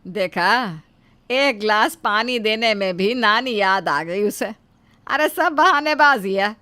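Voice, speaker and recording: Older mother-in-law, woman performing, storybook cartoon: grumbling, bossy, emphatic, slow delivery. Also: medium pitch, animated, sharp tone, dry recording. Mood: irritated. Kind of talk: animated moral storybook acting.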